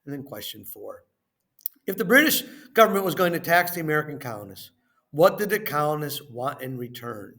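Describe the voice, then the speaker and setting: A man reading a lesson and worksheet questions aloud as a teacher, in a deliberate, instructional way, stressing phrase openings and trailing off at the ends. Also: fairly high pitch, big pitch swings, pushed and bright.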